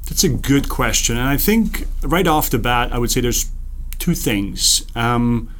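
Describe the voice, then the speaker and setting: A man's voice: mid-range voice, animated, measured pace, fairly bright tone. A man in his thirties from Switzerland whose first language is Serbo-Croatian, speaking as a podcast guest with many ums and restarts. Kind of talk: conversational interview.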